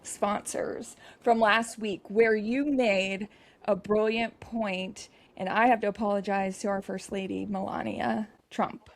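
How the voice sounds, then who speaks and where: A woman co-hosting a comedy podcast, talking in a chatty, sarcastic way with quick asides. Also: medium pitch, steady tone, gravelly. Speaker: podcast co-host. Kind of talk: comedic casual chat.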